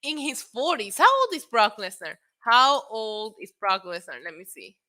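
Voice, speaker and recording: Sharp-voiced excitable woman in her thirties. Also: fairly high pitch, normal speed. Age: 30s. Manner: excitable, mixes in Spanish phrases, answers chat; frequent laughter and profanity. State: tipsy from drinks. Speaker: wrestling show host. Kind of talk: casual live-stream chat.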